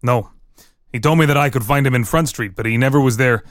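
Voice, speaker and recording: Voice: mid-range voice, brisk, no echo, studio quality, close to an everyday voice. An actor reading the part of an evasive prisoner, a German immigrant murder suspect, giving short guarded answers in a dramatic reading. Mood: evasive, terse. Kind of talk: dramatic reading of an interrogation transcript.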